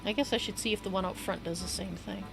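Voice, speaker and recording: A hoarse woman chats on her game stream, rambling from one thought to the next and laughing easily. Her voice has a gravelly edge and sits at an ordinary pitch. Bouncy game music plays clearly beneath her. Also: fairly even intonation, fast. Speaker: livestreamer. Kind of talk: casual rambling chat while gaming. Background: upbeat game music.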